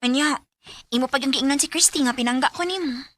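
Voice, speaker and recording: A woman's voice: high-pitched, quick pace, fairly bright tone, slight background noise. An actress playing a hesitant, plaintive young woman secretly in love, in a radio drama. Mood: shy, hesitant. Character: lovelorn young woman secretly in love with her best friend.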